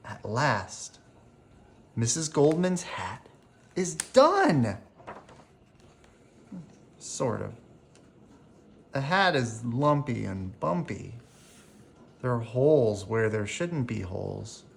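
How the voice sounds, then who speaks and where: A storyteller reads a picture book aloud at a slow, careful pace. The pitch sits in a middle range and moves in lively swings, with animated phrasing made for young children at bedtime. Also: vocal fry, a little hiss or hum.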